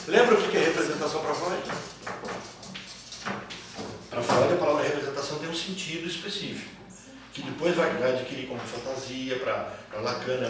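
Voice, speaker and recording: A Brazilian man teaching a class informally, repeating phrases and checking that students follow with short tag questions. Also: mid-range voice. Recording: echoey room, far mic, clear background noise.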